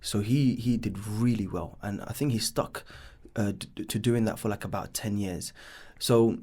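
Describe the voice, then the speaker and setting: A man's voice: on the low side, measured pace, dark tone. A man in his thirties with a London accent, raised there after being born in Bangladesh. He tells his life story on a podcast in a rambling, casual way, full of ums and "should I say". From born in Bangladesh, raised in East London. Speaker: business founder guest.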